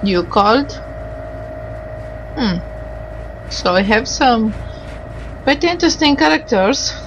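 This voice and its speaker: A woman doing a casual game playthrough, reading the on-screen dialogue aloud in a halting, tentative way and sounding tired. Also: fairly high pitch, animated, muffled recording, background noise.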